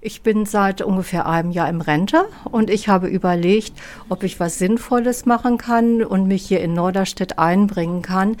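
A retired woman in her sixties, a volunteer, answering an interview calmly and thoughtfully. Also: medium pitch, normal speed.

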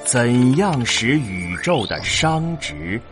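Slow, dramatic male narrator over music. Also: mid-range voice, big pitch swings. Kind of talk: dramatic scripted sci-fi narration. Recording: clear music bed behind.

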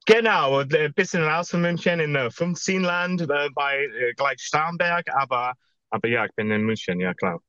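A man from England with an English accent, speaking haltingly as a non-native guest in a casual chat. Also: on the high side, lively intonation, sharp tone, clear, resonant tone, no room sound. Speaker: podcast guest, quiz contestant. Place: parked in a car. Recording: dull, lo-fi, dry sound.

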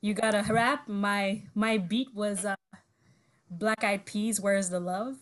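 A woman's voice: mid-range voice, fairly even intonation, slow. Chatty young woman, French her first tongue. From Ivory Coast and Zimbabwe. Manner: chatty, laughs often, trails into fillers. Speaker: guest musician. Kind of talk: casual interview storytelling.

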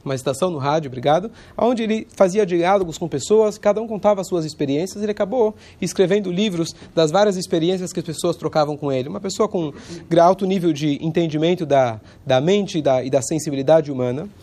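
A man, hoarse from a cold, giving an informal religious lesson in a conversational way and pausing now and then to search for words. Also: fairly high pitch, warm tone.

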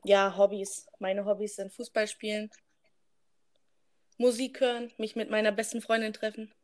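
A woman's voice: medium pitch, level tone, moderate pace, very noisy. Young woman in informal podcast banter. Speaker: podcast co-host. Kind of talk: casual unscripted chat.

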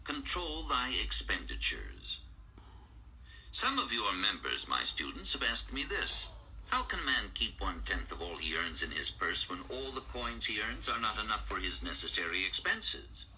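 A man narrating an audiobook in a formal, measured, oratorical style with old-fashioned phrasing. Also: on the low side, big pitch swings, bright, forceful tone, a little hiss or hum, rough recording. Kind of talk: formal scripted narration of an archaic-styled book.

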